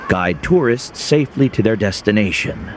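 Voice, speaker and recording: An actor playing a middle-aged mentor in a video game, gentle and solemn, with a measured, caring delivery. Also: male voice, on the low side, lively intonation, crowd noise, background noise, close to an everyday voice. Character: Uncle Nu, older male mentor guide, gentle and solemn. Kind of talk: game voice acting. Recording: loud music behind voice. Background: music.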